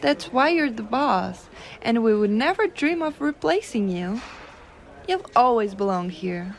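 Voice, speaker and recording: A woman playing a gentle, teasing giantess secretary in an ASMR roleplay, coaxing and cooing softly. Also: a little high, very animated. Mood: tender, reassuring. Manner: soft coaxing, cooing, unhurried.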